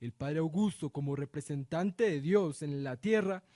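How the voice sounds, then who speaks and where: A man's voice: fairly high pitch, measured pace, mellow, lightly acted. A man playing a cruel, self-righteous regent in a radio drama, declaiming pompously as he passes judgment. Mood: stern, accusing.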